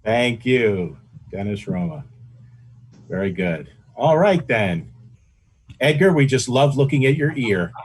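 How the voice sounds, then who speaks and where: A man's voice: mid-range voice, animated, takes it slowly, long pauses, slightly rough. A man chairing a club meeting over a video call.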